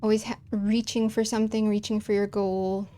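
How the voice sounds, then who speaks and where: A woman's voice: medium pitch, level tone, normal speed, warm tone. A woman from the American Midwest with a Chicago accent, chatting casually while streaming a game, with long vowels and careful over-enunciation.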